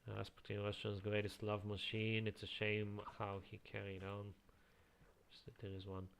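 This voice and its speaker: A man with a slight Eastern European accent, chatting casually as a streamer, reading chat aloud and chuckling often into a quiet home microphone. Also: lowish voice, completely flat delivery, moderate pace, gravelly, clean tone. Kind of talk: casual live-stream chat while playing.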